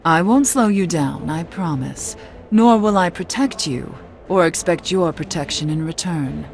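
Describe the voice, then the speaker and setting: A woman playing a mysterious cursed witch in a video game, speaking in a grave, composed, measured way. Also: on the low side, vocal fry, faint music behind. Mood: resolute. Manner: measured, grave, quietly dramatic. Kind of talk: video game cutscene acting.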